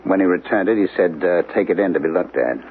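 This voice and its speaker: A man's voice: deep voice, fast, lightly acted. An actor playing a reflective, uneasy male writer who narrates his story in an introspective, questioning way. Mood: recalling. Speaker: narrator and lead character. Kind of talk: 1970s radio drama acting. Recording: tinny old radio broadcast with faint hiss.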